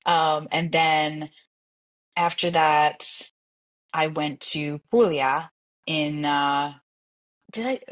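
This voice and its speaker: Lively New York woman telling stories. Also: sits low, extremely slow, long pauses. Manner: animated storyteller, laughs often, many fillers. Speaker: jazz singer, podcast guest. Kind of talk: casual interview chat.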